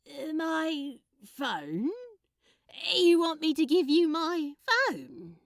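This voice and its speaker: Storybook role for a woman: a smitten, flustered male demon, halting and dramatic, very animated delivery, close dry sound. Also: sits high, no room sound, pitched above the performer's own voice, full character voice. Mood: incredulous. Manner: halting, stammering, full of ums and nervous hesitations.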